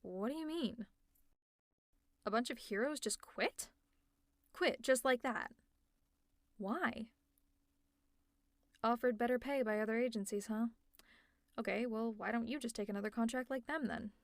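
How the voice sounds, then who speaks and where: Woman acting out a female supervillain, lightly high voice, sarcastic, teasing, exasperated yet caring, conversational, ASMR roleplay, dry close sound. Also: measured pace, pauses often, no echo. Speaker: audio roleplay performer.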